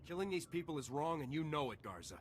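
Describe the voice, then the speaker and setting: A man's voice: a little high, lively intonation, fast talker, a little hiss or hum, close to an everyday voice. A man playing a resolute, principled lieutenant in a video game, speaking firmly and earnestly. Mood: defiant. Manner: firm, earnest, controlled. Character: principled male soldier, a resolute young lieutenant. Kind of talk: scripted video game acting.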